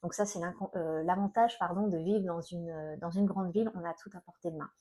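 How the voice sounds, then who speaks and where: Mellow middle-aged French woman, talking haltingly. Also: fairly low pitch, fairly even intonation. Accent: French from France. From France. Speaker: self-employed energy healer and coach, video host. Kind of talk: personal vlog, informal talk to viewers.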